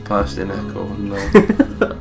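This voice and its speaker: A man's voice: slow, over music. A young man chatting casually as co-commentator on a game, dramatic and exclaiming, swearing freely. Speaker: let's play co-commentator.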